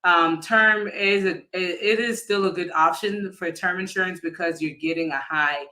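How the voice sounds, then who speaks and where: A man working as an insurance agent, explaining policies casually on a livestream, often pausing with fillers and restarting his sentences. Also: sits high, bright, forceful tone. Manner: hesitant, many fillers and restarts; addresses viewers informally.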